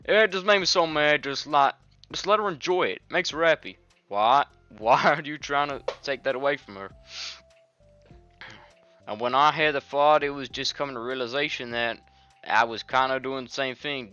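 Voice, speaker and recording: Earnest, self-blaming game schoolgirl, read by a man: fretful, bright tone, slightly muffled recording. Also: mid-range voice, moderate pace, soft background music, natural voice. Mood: upset. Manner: fretful, hesitant. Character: earnest, self-critical schoolgirl club president. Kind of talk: video game fan voice-over.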